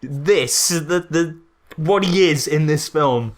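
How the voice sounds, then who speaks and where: A man's voice: on the high side, takes it slowly. A man co-hosting a podcast, critiquing a film in casual chat with an exasperated, sarcastic manner. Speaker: podcast co-host.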